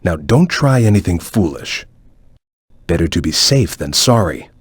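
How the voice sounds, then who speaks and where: A man voicing a stern, watchful cartoon ninja guide in a video game, with a measured, formal, cautious way of advising. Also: deep voice, very animated, slightly rough, dry recording, studio quality, heavily acted. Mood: watchful, serious. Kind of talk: video game voice acting, short hint lines.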